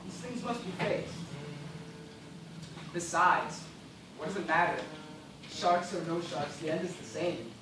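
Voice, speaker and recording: A man on stage playing an anguished, bitter gentleman survivor of a shipwreck, declaiming in melodramatic style. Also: sits high, unhurried, pauses often. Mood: grimly resigned. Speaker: stage actor. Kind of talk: stage play dramatic monologue. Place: theatre stage, recorded from afar. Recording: very echoey stage, distant mic, loud noise.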